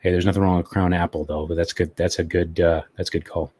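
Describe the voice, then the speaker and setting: A man's voice: low voice, flat delivery, fast, darkish tone, husky. A man hosting a motorcycle live stream from home, chatting casually and easygoing, with fillers. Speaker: motovlog live stream host.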